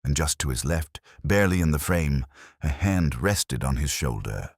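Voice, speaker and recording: A man narrating a mystery story in a slow, hushed, deliberate way. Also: extremely low pitch, animated, breathy edge, no echo, studio quality.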